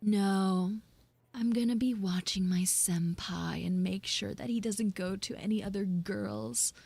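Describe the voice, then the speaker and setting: A woman voicing an obsessive, eerie yandere girl in a slow monotone, fixated on her crush. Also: medium pitch, vocal fry, slightly rough, no echo, pitched below the performer's own voice.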